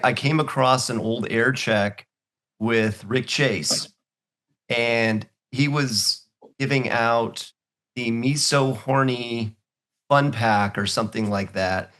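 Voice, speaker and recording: A man's voice: mid-range voice, takes it slowly, a bit bright. A man hosting a casual interview, often stammering and restarting before his questions. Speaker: interview host.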